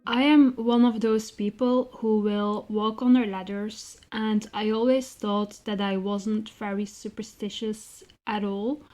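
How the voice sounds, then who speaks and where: A woman writer answering interview questions in a measured, articulate and reflective way with rather formal phrasing. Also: medium pitch, steady tone, a little mellow, soft background music. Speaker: interviewed writer and zine co-founder.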